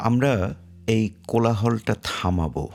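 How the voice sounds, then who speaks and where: A man narrating a guided meditation in a measured way, pausing between short phrases. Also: fairly low pitch, animated, vocal fry. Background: faint music.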